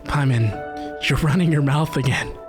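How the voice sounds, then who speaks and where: A woman's voice: a bass voice, normal speed. A woman streamer chatting casually with viewers during a live game stream, full of conversational asides. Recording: stream with game music behind. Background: video game music.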